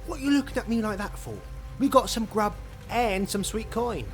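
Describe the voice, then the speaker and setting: A man playing a cowardly, greedy soldier who grumbles and blusters in rough slang in an audio drama. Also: high-pitched, normal speed, lightly acted. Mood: grumbling, exasperated. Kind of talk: full-cast audio drama acting. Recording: music bed behind the voice.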